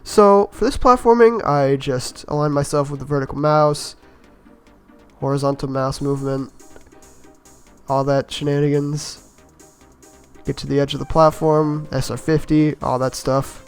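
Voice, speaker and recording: A man with a slightly raspy voice from being a bit sick, giving easygoing, rambling voice-over commentary on his own game run and laughing at his own mistakes. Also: fairly high pitch, steady tone, measured pace, pauses often, faint music behind. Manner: easygoing, rambling, self-mocking with chuckles. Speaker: gameplay commentator.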